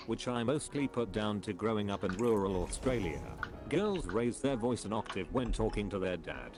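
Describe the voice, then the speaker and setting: A man reading forum posts aloud at a flat, even reading pace. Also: fairly low pitch. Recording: music playing loudly behind.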